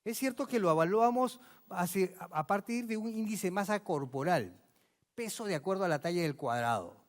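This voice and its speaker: A man's voice: high-pitched, lively intonation, normal speed. A male physician giving a medical conference lecture, emphatic and addressing the audience with rhetorical questions. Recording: long reverb of a large hall.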